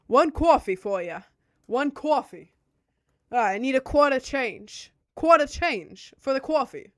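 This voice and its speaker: A woman's voice: sits high, animated, unhurried, no echo, studio quality, voice pushed up. A woman voicing a brash New York coffee customer with a New York accent.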